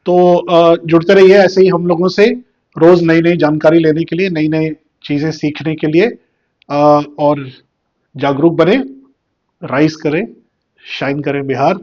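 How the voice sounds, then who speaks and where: Male explainer host, fairly high voice, teacherly delivery, slightly muffled recording. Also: level tone, unhurried, a little mellow. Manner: didactic, asks and answers own questions, repeats key words. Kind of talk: informal news explainer.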